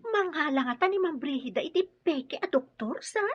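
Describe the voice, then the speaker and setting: An actress playing an inquisitive household helper, questioning but respectful, in an audio drama. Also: female voice, high voice, lively intonation, normal speed, dull audio. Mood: doubtful.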